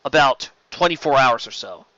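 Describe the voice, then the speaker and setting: A guarded male alien administrator, acted by a male narrator speaks with halting, formal courtesy in a science fiction story. The sound is dry and slightly dull. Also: medium pitch, animated, sharp tone, no room sound.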